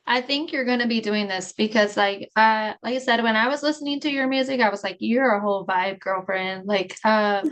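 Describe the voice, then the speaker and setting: A woman's voice: a little high, steady tone, fairly quick, few pauses, a bit bright. A woman hosting a podcast, upbeat and encouraging as she talks with her guest. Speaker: podcast host. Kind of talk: casual interview chat.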